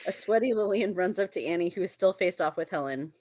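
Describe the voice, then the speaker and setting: A woman's voice: fairly low pitch, expressive, fast talker, a little mellow, dry recording, muffled recording. A woman reading screenplay stage directions aloud at a table read, in a giggly way. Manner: giggly, often breaking into laughter.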